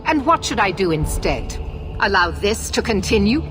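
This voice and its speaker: A woman's voice: medium pitch, sing-song, normal speed, music in the background, lightly acted. An actress playing a stern, unyielding female knight commander in a fantasy game, imperious and formal. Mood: scornful, cold. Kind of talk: theatrical fantasy game acting.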